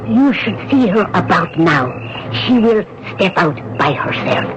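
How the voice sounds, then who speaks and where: A woman's voice: mid-range voice, expressive, unhurried, lightly acted. Earnest Resistance woman, played by an actress, noisy radio recording. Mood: tense, watchful. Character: earnest French Resistance woman rescuing hidden children. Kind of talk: 1980s radio drama acting. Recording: dull, very noisy old radio broadcast.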